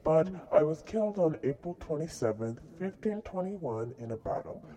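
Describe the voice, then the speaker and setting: A man's voice: on the high side, big pitch swings, normal speed, dark tone, rough recording. A man playing a boastful dead explorer in a mock interview, reciting his answers plainly. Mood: recounting. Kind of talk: school-project mock interview.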